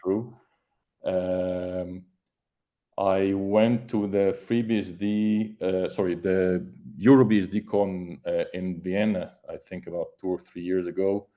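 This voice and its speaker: A man from Egypt, introducing himself casually on a video call in a halting way, searching for words. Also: low-pitched, fairly even intonation, highs cut off. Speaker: meeting participant, software developer.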